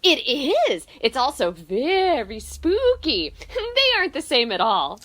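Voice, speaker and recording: Very animated, high, perky student girl. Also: slow pace, full character voice. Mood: perky, eager. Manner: chirpy, eager, polite. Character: perky, eager young female college student. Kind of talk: audio drama dialogue.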